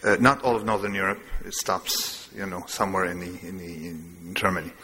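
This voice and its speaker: A man lectures in a rambling, self-amused way. His voice sits fairly low but sounds sharp and forceful. A long reverb trails his words around a big lecture hall. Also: little pitch movement, brisk. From Lebanon. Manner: discursive, digressive, self-amused. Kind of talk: public lecture.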